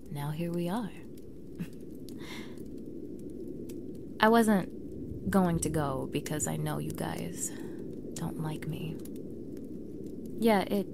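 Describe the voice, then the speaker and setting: A young woman playing a defensive mean girl with a vulnerable side in an intimate ASMR roleplay, halting and often dropping to a breathy near-whisper. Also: sits low. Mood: hurt, confiding. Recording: clearly audible background noise bed.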